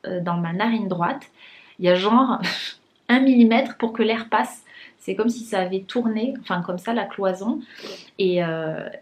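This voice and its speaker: A woman's voice: medium pitch, darkish tone. A young woman talking casually to her vlog viewers, with many fillers and restarts and a blocked, congested nose. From France. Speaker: vlogger. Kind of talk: casual vlog chat to camera.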